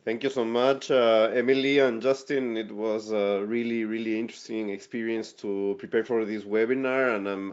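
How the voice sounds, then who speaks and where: Man presenting over a dull webinar line. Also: lowish voice. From Ecuador. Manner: friendly, repeats words while thinking. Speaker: guest speaker, forestry lecturer.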